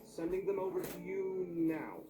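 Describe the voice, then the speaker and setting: A man's voice: sits high, normal speed, distant mic, background noise, close to an everyday voice. An actor playing a questioning, wistful young male scholar in a video game. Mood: curious.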